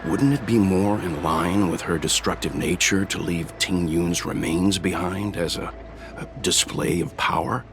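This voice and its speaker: Grave commander, very low voice, formal, deliberate, analytical, game cutscene, faint background noise. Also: male voice. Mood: grave, pondering. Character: grave, analytical male commander weighing an enemy's plot. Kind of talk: video game cutscene acting.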